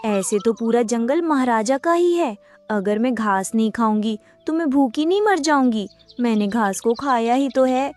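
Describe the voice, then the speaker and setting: A woman's voice: a little high, animated, moderate pace, hardly any pauses, a little mellow, no echo, close to an everyday voice. A woman voicing a mother goat in a Hindi cartoon who argues back against an unjust king. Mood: pleading. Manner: pleading, then defiant and cursing. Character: wronged mother goat, proud and defiant.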